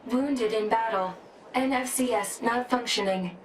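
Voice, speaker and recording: A woman voicing a formal, dutiful robotic support unit in a video game, speaking in measured, emotionless phrases. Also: fairly high pitch, a little hiss or hum, natural voice. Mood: clinical, flat. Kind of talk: scripted game status reporting. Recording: echoey, with music behind.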